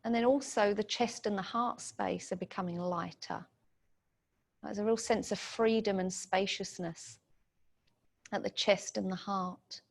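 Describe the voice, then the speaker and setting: A woman leads a guided meditation, giving soothing, gentle instructions in short phrases. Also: mid-range voice, steady tone, unhurried, gravelly.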